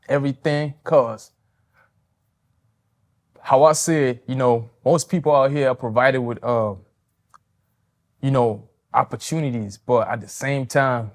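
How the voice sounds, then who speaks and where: Soft-spoken young man. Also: mid-range voice. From Tanzania. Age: 20s. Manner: soft-spoken, polite, loose and hesitant. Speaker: interviewee. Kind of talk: candid interview answers.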